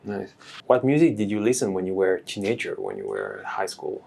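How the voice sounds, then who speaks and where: Halting male interviewer, faint noise. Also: low voice, wildly swooping pitch, gravelly, darkish tone. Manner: halting questions, quick reactions. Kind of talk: casual interview.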